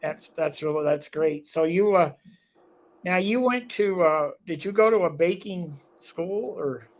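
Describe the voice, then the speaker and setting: A man's voice: high-pitched, measured pace. A man hosting a local talk show, chatting casually with a guest and rambling with lots of fillers.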